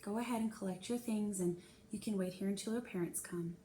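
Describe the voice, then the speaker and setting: A woman voicing a female school principal in a storybook, speaking with measured, polite authority and formal consolation. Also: mid-range voice, dark tone, lightly acted. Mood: consoling. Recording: echoey room with faint hiss.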